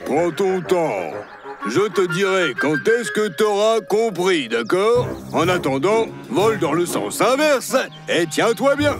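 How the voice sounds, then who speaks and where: Calm male dinosaur leader, slow and measured, wry, patient teacher type, animated series. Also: sits high, over music, heavily acted. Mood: dry, patient. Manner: dry, unhurried, instructive. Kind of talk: French cartoon dub acting.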